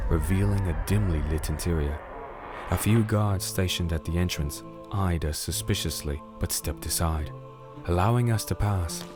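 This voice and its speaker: A man playing a calm, firm crusader in a fantasy audio drama, narrating and speaking in a measured, solemn way. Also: deep voice, lightly acted. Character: disenchanted male crusader, calm, firm sword-bearing investigator. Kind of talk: audio drama dialogue and first-person narration.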